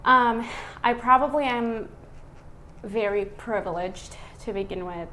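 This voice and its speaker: A woman in her thirties from Iraq, a physician speaking as a podcast guest. She talks in a relaxed, reflective way, with many "um" and "like" fillers. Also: a little high, unhurried, a little hiss or hum, rough recording. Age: 30s. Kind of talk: casual conversational interview.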